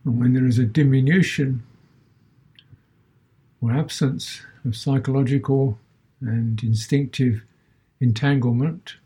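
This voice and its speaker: A man teaching a guided meditation as a Buddhist teacher, speaking in short measured phrases with long pauses between them. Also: medium pitch, fairly even intonation, warm tone, breathy edge.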